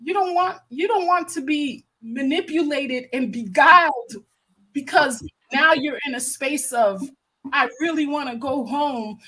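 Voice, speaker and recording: A woman's voice: high voice, unhurried, fairly bright tone, poor audio. An American woman hosting a livestream with casual, impassioned commentary, stressing points by repeating her phrases. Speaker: livestream host.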